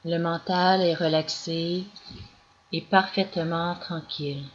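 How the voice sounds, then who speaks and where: A woman guiding a yoga nidra meditation as scripted narration, in calm, measured instructions with long pauses. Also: lowish voice. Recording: dull lo-fi recording with clear background noise.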